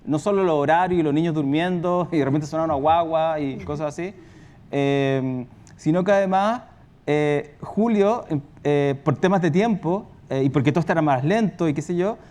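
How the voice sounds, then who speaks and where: Higher-voiced Chilean man talking off the cuff. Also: moderate pace, darkish tone. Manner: colloquial, rambling, anecdotal. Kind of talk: spontaneous panel talk.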